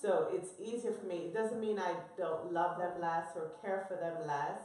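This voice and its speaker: A woman with a somewhat low voice speaks firmly and matter-of-factly on a live panel. She is away from the microphone. A strong echo fills the room. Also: fairly even intonation, normal speed, darkish tone, recorded from a distance. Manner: firm, matter-of-fact. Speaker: panel guest. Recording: echoey room, off-mic.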